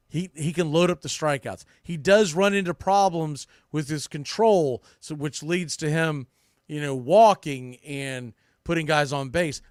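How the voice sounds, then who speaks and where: A man's voice: a little high, dry recording, studio quality. A man hosting a baseball podcast, giving off-the-cuff preview commentary and thinking aloud as he corrects himself.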